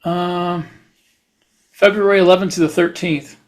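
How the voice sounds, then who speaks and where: A man co-hosting a podcast in casual chat, talking in a halting, rambling way. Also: high-pitched, fairly even intonation. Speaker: podcast host; writer.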